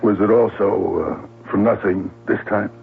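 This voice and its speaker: An actor playing a probing, matter-of-fact police lieutenant in a 1970s radio drama, with a gravelly voice. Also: male voice, fairly low pitch, steady tone, a little slow. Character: Lieutenant Bennett, probing, unhurried male police detective. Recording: tinny, noisy old radio broadcast.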